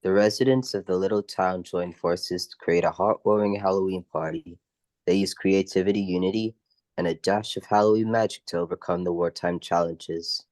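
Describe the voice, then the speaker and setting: A man's voice: deep voice, fairly even intonation, normal speed, a little mellow, no echo. An older man giving scripted narration for a radio play.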